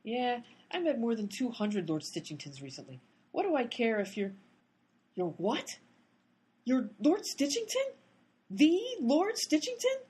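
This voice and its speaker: A woman's voice: fairly high pitch, wildly swooping pitch, measured pace, a little mellow, breathy edge, full character voice. A woman playing an exasperated, sarcastic private detective in a comedic radio play.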